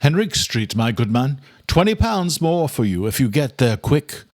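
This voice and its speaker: A man voicing an older, courteous, determined male doctor in an audiobook, speaking in a formal, measured way. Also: mid-range voice, very animated, no room sound, studio quality, voice pushed up. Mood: brisk. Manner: formal, measured, old-fashioned address. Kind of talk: audiobook character dialogue.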